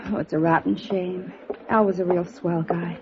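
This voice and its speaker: A woman's voice: fairly low pitch, little pitch movement, moderate pace, heavy background noise, lightly acted. A woman acting as a frank, slangy actress in a 1950s radio drama, American, conversational and matter-of-fact. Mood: casual, regretful. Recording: thin phone-like vintage radio recording.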